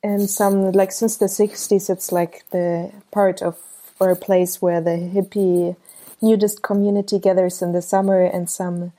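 A woman's voice: medium pitch, level tone, measured pace, warm tone. A woman in her twenties from Germany, a photographer answering interview questions in a hesitant, casual way with many fillers. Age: 20s. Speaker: guest photographer.

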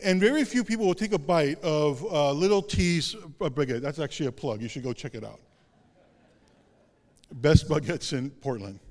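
A male pastor giving a sermon in a conversational, self-deprecating way with casual asides. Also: normal speed. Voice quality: deep voice. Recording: long hall reverb.

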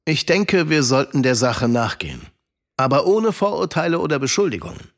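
A man playing a calm, wise storyteller with a husky voice in a children's audio drama. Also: a little high. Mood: calm, advising. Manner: measured, advising.

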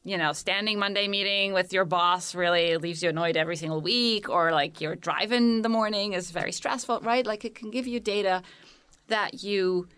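A woman's voice: mid-range voice, normal speed, fairly bright tone, smooth. A woman from Egypt talking as a guest in a panel conversation, articulate and enthusiastic, explaining her ideas with many examples. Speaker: tech company founder, guest.

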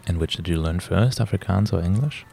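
A man's voice: low voice, a little fast, creaky, dark tone, husky. A man interviewing a guest, asking curious questions and nodding along with short replies. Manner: curious, brief prompts and frequent "mm-hmm". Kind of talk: casual interview.

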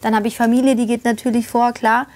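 A woman in her forties, an actress and voice actor, talking as a guest in a casual chat, animated and quick to laugh. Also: a little high. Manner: animated, laughs easily, talkative.

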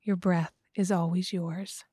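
Slow, soft-whispering meditation guide woman. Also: on the low side, level tone, breathy edge, no room sound, clean studio sound.

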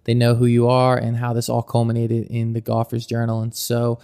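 A man's voice: medium pitch, completely flat delivery, moderate pace, creaky, dark tone, clear, resonant tone. A man hosting a podcast, reading a scripted intro and asking interview questions in an upbeat, welcoming way. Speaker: podcast host.

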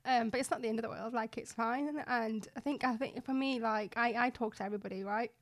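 High-voiced young Yorkshire woman, chatting. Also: steady tone, fairly quick, no room sound, studio quality. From Bradford, West Yorkshire, England. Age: 20s. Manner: chatty, informal, self-joking. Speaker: interview guest, fashion blogger and influencer. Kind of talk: casual chat.

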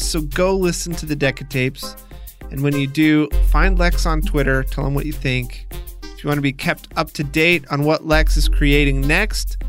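A man's voice: a little high, a bit bright. A man hosting a podcast, moving between conversational interviewing and scripted narration. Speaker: podcast host and producer.

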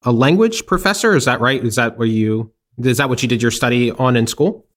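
Man, interview host, casual, chatty, filler-heavy, laughs easily, medium pitch. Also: brisk. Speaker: podcast host.

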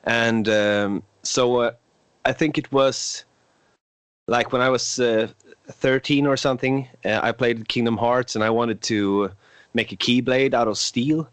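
A man's voice: medium pitch, fairly even intonation, unhurried, a bit bright, smooth, dry recording. A Swedish man in his twenties, a native Swedish speaker, hosting a casual podcast chat in a hesitant way with many filler sounds. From Sweden. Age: 20s. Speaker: podcast host.

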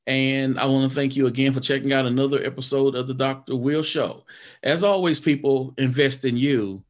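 A man's voice: mid-range voice, steady tone, normal speed. A man hosting a podcast interview, talking loosely with lots of fillers and restarts, then rallying listeners in an upbeat sign-off. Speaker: podcast host. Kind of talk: casual interview chat and show outro.

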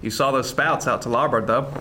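An actor playing a kindly, calm first mate of a sailing ship in a period radio drama, with a reassuring, understated manner. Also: male voice, medium pitch, a little fast, slight background noise, lightly acted. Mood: wry, calm.

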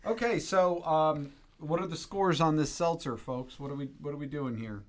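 A man hosting a casual podcast in a relaxed, jokey manner. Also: a little high, normal speed, no room sound. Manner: easygoing, jokey. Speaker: podcast host.

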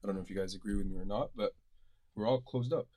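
A man's voice: on the low side, quick pace, mellow. A man from Kuwait talking reflectively in a casual panel discussion, explaining his views in long runs. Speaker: panel guest.

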